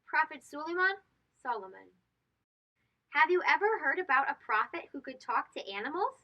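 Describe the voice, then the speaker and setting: A woman's voice: high-pitched, big pitch swings, moderate pace, lo-fi sound. A woman reading a children's storybook aloud, expressively and speaking directly to young listeners. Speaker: host of children's read-aloud show.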